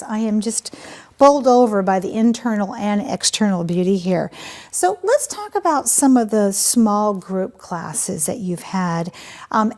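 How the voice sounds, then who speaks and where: Brief: woman host, effusive and animated, interview questions. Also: mid-range voice. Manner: effusive, warm praise, animated. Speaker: talk show host.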